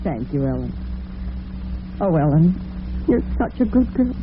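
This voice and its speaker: Sweet, fond, ailing older mother, animated pitch, radio drama on a hissy old recording. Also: unhurried. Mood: gentle concern. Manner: gentle, fond, self-effacing. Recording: noisy, narrow-band vintage radio recording.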